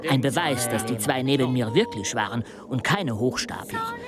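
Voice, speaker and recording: An actor playing an expedition member who narrates a mountain climb in a reflective, literary way for a radio play. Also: male voice, mid-range voice, lively intonation, moderate pace, over loud music, lightly acted. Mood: reflective, uneasy. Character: adult male expedition member recounting a dizzying mountain climb.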